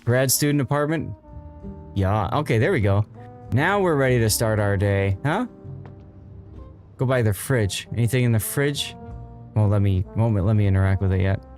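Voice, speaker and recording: A man's voice: lowish voice, animated, normal speed, pauses often, a little mellow, faint music behind. A man streaming a video game with casual, easygoing live commentary, thinking aloud as he goes.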